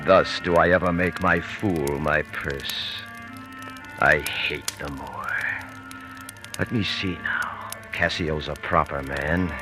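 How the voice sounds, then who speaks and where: An actor playing a two-faced military ensign, a sly, insistent villain, in old radio Shakespeare style. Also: male voice, extremely low pitch, fairly even intonation, slow. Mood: brooding, plotting. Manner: sly, insistent, theatrical cajoling. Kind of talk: 1940s radio Shakespeare acting. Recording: old radio broadcast with music.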